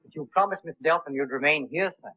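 Man playing a loyal butler, slightly high voice, tinny old film sound, very dry. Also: fast talker, no echo, lightly acted. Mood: earnest. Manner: polite, formal, keeps saying sir. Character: deferential loyal male manservant, middle-aged. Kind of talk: 1930s film acting.

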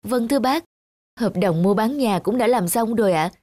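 A woman's voice: a little high, normal speed, darkish tone, dry recording, lightly acted. A woman voicing a caring adult daughter in a cartoon, earnest and emotional in the way she talks. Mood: wistful.

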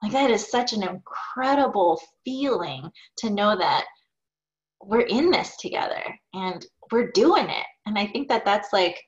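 A woman's voice: mid-range voice, lively intonation, a little slow. A woman in her forties, a small business owner, answering interview questions over a video call from her home office in an upbeat, thoughtful way with some fillers. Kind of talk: conversational Q&A answers. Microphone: video call, webcam. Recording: dull lo-fi video call audio.

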